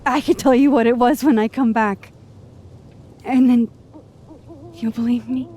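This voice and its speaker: A woman playing an earnest, anxious young woman in a video game drama, with a halting, pleading way of talking. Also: fairly high pitch, steady tone, dark tone, lightly acted. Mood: hopeful, musing. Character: earnest, anxious young woman with secret timeline-hopping power.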